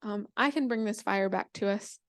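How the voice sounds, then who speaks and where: A woman's voice: mid-range voice, lightly acted. Woman voicing a tiny, earnest female water spider. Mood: polite, determined. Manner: polite, halting, insistent.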